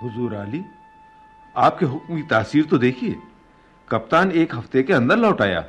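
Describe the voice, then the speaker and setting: A man's voice: medium pitch, very animated, normal speed, over music, lightly acted. A man playing a deferential customs officer at a royal court, reporting formally and obsequiously in a radio play. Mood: pleased, deferential. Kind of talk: Hindi radio play acting.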